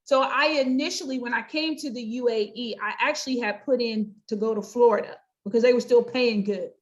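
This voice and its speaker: Woman, middle-aged, American accent, higher-pitched voice, conversational interview guest, emphatic and chatty. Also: steady tone, fairly quick, lo-fi sound. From United States. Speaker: guest: pastor, counselor and coach. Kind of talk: conversational interview, explaining own work.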